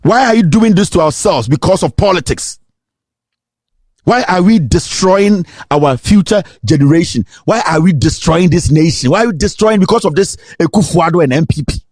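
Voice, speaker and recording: A Ghanaian man delivers a fiery political commentary in a high voice that swings widely in pitch. He is indignant and emphatic, hammering rhetorical questions, and the sound is dry and close. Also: lively intonation, moderate pace, darkish tone, no echo. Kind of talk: impassioned political monologue.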